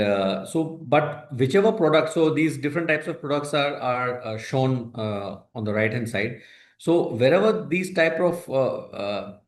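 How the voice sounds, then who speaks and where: A man with an Indian accent answers investor questions as a company executive, explaining in a halting, hesitant way with broken phrases. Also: medium pitch. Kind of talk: earnings call answers, explanatory. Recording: roomy echo on a conference call.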